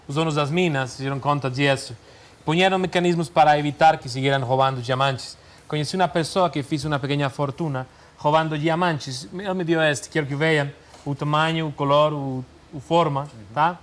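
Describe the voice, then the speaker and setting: A man's voice: fairly high pitch, fairly bright tone. A man from Mexico with a Mexican Spanish accent, a magician guest telling a story in a language he speaks with errors. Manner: storytelling, halting, with slips in his second language.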